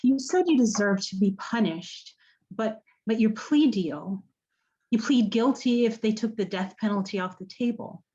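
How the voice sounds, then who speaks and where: A woman acting in a radio drama as an earnest, remorseful prison visitor with a gentle, hesitant way of talking. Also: fairly high pitch, a little slow, dull audio. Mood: probing.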